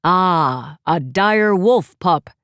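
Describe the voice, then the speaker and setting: A woman voicing a kindly male bear professor who speaks slowly and loudly. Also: fairly low pitch, animated, no echo, clean studio sound, heavily acted.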